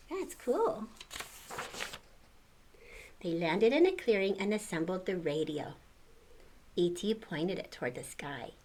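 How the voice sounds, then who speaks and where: A woman's voice: mid-range voice, a little mellow, slight background noise. An older woman reading a storybook aloud to children, expressively, with exclamations and questions to the listener.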